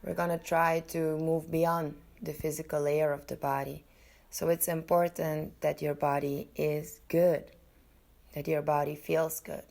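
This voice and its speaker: Very slow, low-pitched woman guiding meditation. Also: gravelly, darkish tone. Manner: soothing, unhurried, with long pauses between short phrases. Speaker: podcast host and yoga nidra guide.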